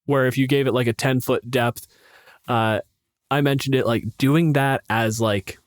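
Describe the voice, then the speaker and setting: A man with a mid-pitched voice talks casually in a dry, close recording. He is a blunt, opinionated podcast co-host who swears freely as he discusses tabletop game rules. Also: steady tone, unhurried, dry recording.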